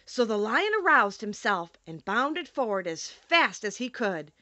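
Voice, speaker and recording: A woman narrating a children's audiobook in an expressive storytelling style. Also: medium pitch, measured pace, no echo.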